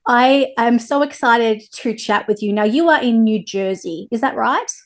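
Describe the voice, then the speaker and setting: Effusive Australian woman with higher-pitched voice. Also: moderate pace. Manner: effusive, enthusiastic. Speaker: interviewer, host. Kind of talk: conversational interview.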